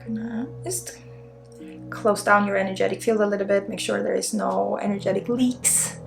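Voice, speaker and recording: A woman's voice: mid-range voice, normal speed, creaky. A woman with a Swedish accent leading an unscripted ASMR spiritual healing session in a soothing, informal, reassuring way. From Sweden. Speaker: energy healer and ASMR host. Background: faint music.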